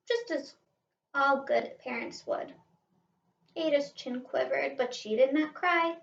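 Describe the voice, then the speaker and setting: A woman reading a children's picture book aloud in an expressive, animated way, like a teacher stressing the rhymes. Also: high voice, slow, vocal fry. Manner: animated, asks listeners questions, stresses rhymes. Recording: roomy, slightly distant, dull sound.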